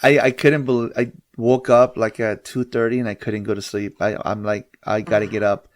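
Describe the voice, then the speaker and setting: A man's voice: lowish voice, flat delivery, normal speed. A man hosting a podcast, chatting in a rambling, easygoing way. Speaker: podcast host. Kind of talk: casual interview chat.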